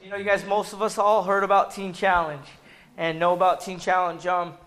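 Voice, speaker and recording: A man in his forties with a loud voice, speaking earnestly at a church gathering and filling pauses with ums. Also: sits high, little pitch movement. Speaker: ministry public relations speaker. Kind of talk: testimony sermon.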